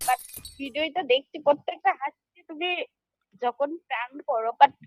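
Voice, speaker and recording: A woman's voice: high-pitched, moderate pace, no room sound. A young woman with an Indian Bengali accent, talking coyly and pleadingly in an intimate, casual phone chat. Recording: muffled, faintly noisy phone call.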